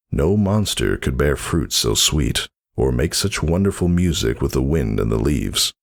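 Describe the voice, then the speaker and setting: Male story narrator, bottomless bass with a creaky edge, dramatic scripted reading.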